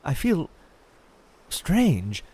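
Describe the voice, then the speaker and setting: A man's voice: fairly high pitch, wildly swooping pitch, very slow, darkish tone, breathy edge, full character voice. An actor playing a dazed young man caught in a strange trance in an audio drama. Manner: incantatory when entranced, bewildered when awake.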